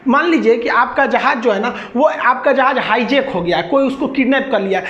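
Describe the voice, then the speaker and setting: A woman's voice: fairly high pitch, normal speed, a bit bright. A woman with a Bihari accent teaching in an informal, colloquial lecture style, explaining things through everyday examples. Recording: echoey room, slightly dull sound.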